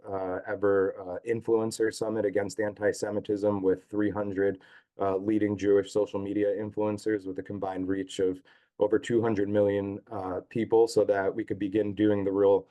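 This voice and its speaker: A hoarse man giving a talk over a video call, halting with many fillers. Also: on the low side, dark tone. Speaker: guest speaker, advocacy group staff chief. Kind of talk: online talk.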